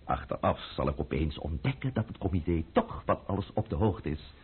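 A man's voice: extremely low pitch, animated, breathy edge, narrow, tinny audio, heavily acted. A male actor playing a cunning, menacing, mocking man of power in a theatrical radio play. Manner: sardonic, theatrical, deliberate.

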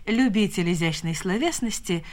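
A woman narrating a scripted radio drama with measured, literary storytelling. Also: mid-range voice, lively intonation, a little mellow.

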